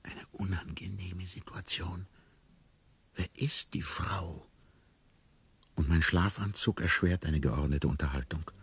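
An actor playing a bewildered amnesiac man who muses wryly and deliberately in a radio drama monologue. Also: sits low, slightly rough, heavily acted. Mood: uneasy, wry. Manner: deliberate, musing, wry. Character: bewildered amnesiac man narrating his inner thoughts.

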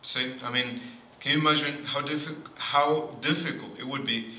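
A middle-aged man, a priest giving a sermon at a pulpit, speaking in slow, deliberate phrases with pauses and frequent asides of "see". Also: a little high, steady tone, pushed and bright. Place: church sanctuary at a pulpit. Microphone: boom mic at pulpit. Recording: echoey church with faint noise.

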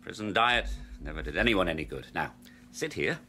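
Low-voiced, cold doctor. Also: male voice, lively intonation, moderate pace, pushed and bright, slight background noise, heavily acted. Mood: smoothly patronising. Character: cold, patronising male doctor running a brutal experiment. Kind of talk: radio drama acting.

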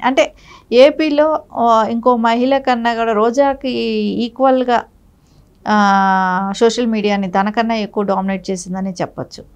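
A woman's voice: on the high side, steady tone, normal speed, long pauses, darkish tone. A middle-aged woman giving critical, argumentative political commentary in a studio interview, often posing rhetorical questions. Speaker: political party spokesperson, interview guest. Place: TV news studio, indoors.

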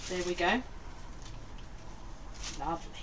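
A woman's voice: lowish voice, lively intonation, slow pace. Older woman, grandmotherly and encouraging, casually instructing children; sits away from the mic in a noisy kitchen. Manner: encouraging, patient. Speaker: grandmother leading children's baking. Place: small home kitchen. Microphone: handheld camera a few feet away. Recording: noisy handheld camera, distant mic.

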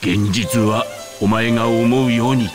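A man's voice: medium pitch, steady tone, a little slow, music in the background, natural voice. A man acting a haughty, scornful old man. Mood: stern, warning. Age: older. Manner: haughty, scolding, old-fashioned turns of phrase. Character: scornful, gruff old man with archaic speech. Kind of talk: Japanese video game voice acting.

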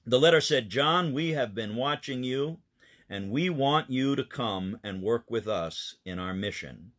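A man's voice: medium pitch, lively intonation, measured pace, fairly bright tone. A man, a pastor, telling a children's missionary story in an earnest, explanatory way.

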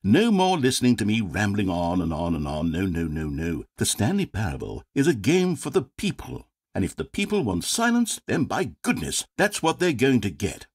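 An actor playing a pompous, self-absorbed game narrator who rambles in a grand, wordy way. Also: male voice, lowish voice, wildly swooping pitch, moderate pace, hardly any pauses, husky, heavily acted. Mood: smug. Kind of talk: scripted video game narration. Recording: dry studio voice-over.

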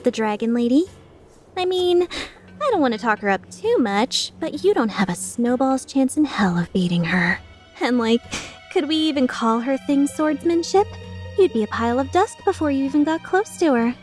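A woman's voice: sits high, animated, normal speed, a little mellow, soft background music. A woman voicing a playful, taunting young mercenary villain in a game fan dub, sardonic and mock-sincere. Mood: mocking, coaxing.

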